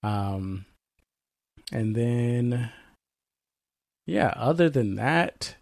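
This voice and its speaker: A man's voice: mid-range voice, expressive, extremely slow, creaky, darkish tone, no echo, studio quality. A man co-hosting a podcast in an easygoing casual chat, sounding a little weary. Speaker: podcast co-host.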